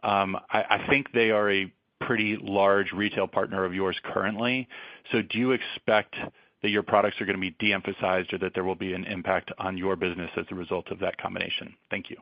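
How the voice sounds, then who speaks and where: A man's voice: sits low, flat delivery, a little fast, no room sound. A man asking questions as an analyst on an earnings call. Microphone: conference call line. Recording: muffled phone-like conference call audio.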